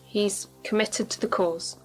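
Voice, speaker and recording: A woman plays a sceptical local candidate in a radio drama, with music under her. Her voice sits at a medium pitch, and her manner is terse, dry and guarded. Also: fairly even intonation, unhurried, close to an everyday voice, poor audio. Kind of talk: radio play acting.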